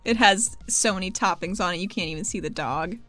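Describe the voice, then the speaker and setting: A woman's voice: on the low side, a little fast, bright, forceful tone. A woman playing a tabletop game, casually improvising descriptions of the scene and her character. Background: music.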